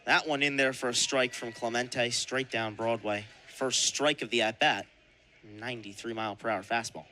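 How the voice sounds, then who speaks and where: Bright-voiced young male baseball announcer. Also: medium pitch, fairly even intonation, normal speed, a little hiss or hum. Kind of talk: live radio sports commentary.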